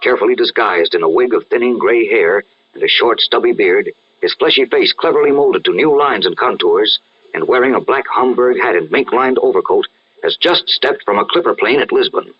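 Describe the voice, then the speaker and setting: A man's voice: on the low side, normal speed, husky. An American man narrating dramatically as an announcer in 1940s radio style. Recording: muffled vintage radio recording.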